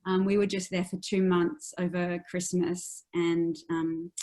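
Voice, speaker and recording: A woman's voice: fairly low pitch, little pitch movement, measured pace, darkish tone. A middle-aged woman telling personal stories in a casual conversation as an interview guest. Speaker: guest, author.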